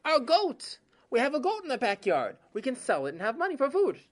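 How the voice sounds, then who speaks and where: A poor, gentle husband from a children's story who hesitates and stumbles over his words, voiced by a woman telling the tale. His voice sits higher than the narrator's own and swings around. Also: huge pitch swings, full character voice. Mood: insistent. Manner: hesitant, halting.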